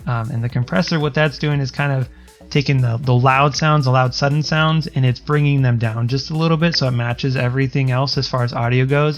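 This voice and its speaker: A man with a self-described higher-pitched voice talks through settings in a casual, rambling way, often restarting his sentences and filling gaps with ums. His pitch sits in a middle range for a man. Quiet music plays faintly underneath him. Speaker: streamer explaining audio settings. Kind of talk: casual screen-recorded tutorial.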